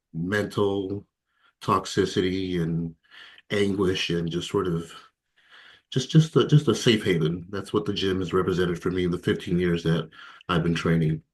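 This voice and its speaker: Deep-voiced man from Ghana, reflective. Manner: reflective, hesitant, many fillers and soft laughs. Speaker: interview guest. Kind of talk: candid personal testimony.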